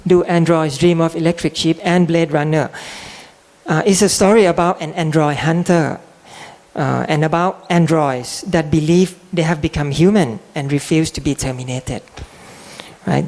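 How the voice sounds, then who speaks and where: Gravelly Thai man giving a lecture. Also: fairly high pitch, steady tone, a little mellow. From Thailand. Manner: halting, restarts and repeats words, clears throat often. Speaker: guest lecturer and translator. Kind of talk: public lecture.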